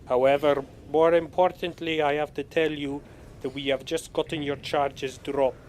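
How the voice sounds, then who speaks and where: A man's voice: a little high, level tone, moderate pace, background noise, lightly acted. A man playing a sly, blunt military general with an authoritative, pointed manner in an audio drama. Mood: smug.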